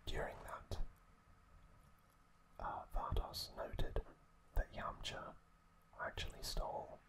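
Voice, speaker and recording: A man whispering softly as an ASMR host, recapping episodes in a halting way with many pauses. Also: low-quality recording. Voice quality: soft unvoiced whisper.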